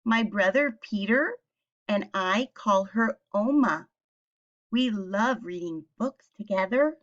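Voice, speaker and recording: A woman teacher reading a picture book aloud and speaking to young children with simple questions and clear explanations. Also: medium pitch, animated, slow, muffled recording.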